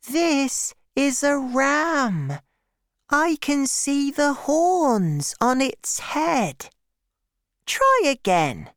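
A little boy from a storybook, as an adult narrator puts him on, high and swooping in pitch. He speaks extremely slowly and simply. He is gentle and unafraid, yet keeps insisting on what he wants. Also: sing-song, no room sound, studio quality, full character voice. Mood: critical, insistent. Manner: slow, deliberate, simple speech. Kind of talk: storybook character voice.

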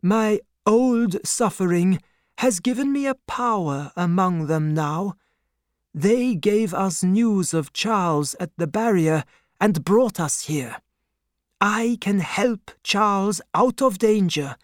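A narrator playing a calm, confident elderly doctor who speaks in a measured, reassuring manner. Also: medium pitch, dry recording, clean studio sound, voice pushed up. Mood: calm assurance.

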